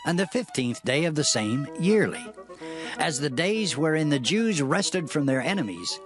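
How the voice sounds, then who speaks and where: Voice reading a decree, mid pitch, lively swings, measured, proclaiming, music behind. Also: natural voice. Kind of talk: dramatized scripture reading.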